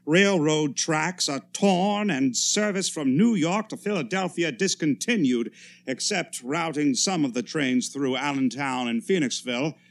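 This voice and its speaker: An actor playing a grave, formal radio official making announcements in a 1930s-style radio drama, solemn and measured. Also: male voice, fairly high pitch. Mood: grave urgency.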